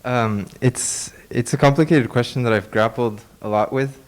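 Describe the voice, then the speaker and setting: A young man, a student guest on an academic panel, explaining his research in a measured, thoughtful way. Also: mid-range voice, slight background noise, low-quality recording.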